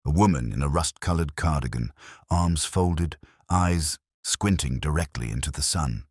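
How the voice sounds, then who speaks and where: A man playing an ageing, wry, brooding detective inspector who narrates a scripted mystery in measured, literary phrases. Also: a bass voice, darkish tone, husky, no room sound, studio quality. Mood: brooding, reflective.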